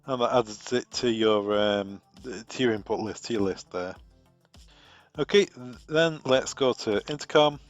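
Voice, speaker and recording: A man with a British accent, a product trainer talking through a software configuration step by step, relaxed and thinking aloud with fillers. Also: on the low side, measured pace, dry recording, faint music behind.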